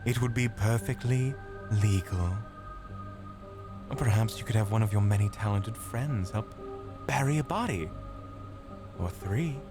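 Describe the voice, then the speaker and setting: A man's voice: on the low side, measured pace, long pauses, music in the background, natural voice. A man voicing a malevolent inner demon in an audio drama, sinister and mocking as he goads his victim. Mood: goading, sinister. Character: malevolent taunting male inner demon, a hallucination. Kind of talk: audio drama villain dialogue.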